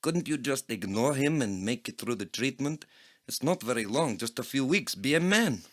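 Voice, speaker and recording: A man's voice: mid-range voice, animated, normal speed, voice pushed up, natural voice. A man voicing a surly Eastern European computer programmer in an audio play, blunt and formally worded. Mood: gruff, dismissive. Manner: blunt, unfriendly, formal wording. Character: surly Eastern European male programmer and flea-market trader.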